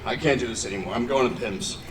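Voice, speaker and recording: A man playing a gruff, impatient superhero mutant in a live stage show, curt and growling. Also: medium pitch, fast talker, natural voice. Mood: fed up. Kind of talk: live theme park stage show acting. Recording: echoey outdoor show, distant mic, loud crowd.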